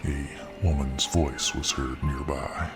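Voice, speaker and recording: Deep-voiced male ASMR narrator, very low and unhurried, music bed. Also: fairly even intonation. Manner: slow, soft, deep. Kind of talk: ASMR audio fiction narration. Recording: narration over clear music.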